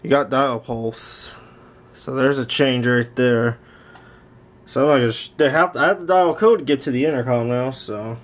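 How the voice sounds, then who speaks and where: A man's voice: medium pitch, normal speed. A man giving casual, rambling hands-on narration of a hobby video from behind a handheld camera in a room at home, often repeating himself. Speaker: YouTube hobbyist demonstrating intercom gear. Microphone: handheld camera, speaker behind it.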